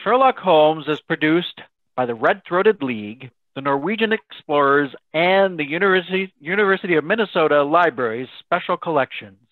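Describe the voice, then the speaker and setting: A man playing a polished old-time radio announcer with formal, measured salesmanship. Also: a little high, animated, no echo, soft background music, lightly acted. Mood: polished, promotional. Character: polished male 1930s radio announcer pitching coffee. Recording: thin, phone-like video-call audio.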